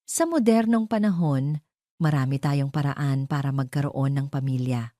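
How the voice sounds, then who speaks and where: A woman's voice: very deep voice, moderate pace, dark tone, dry recording, studio quality. A woman voicing a smug, venomous female socialite villain in an audio drama, theatrical and falsely sweet as she addresses guests. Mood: gloating.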